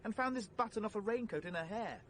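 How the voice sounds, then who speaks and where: An actor playing an anxious, well-bred British gentleman on the run in a vintage radio drama, plummy, earnest and flustered. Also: high-pitched, quick pace. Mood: troubled, earnest. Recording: noisy vintage radio play recording.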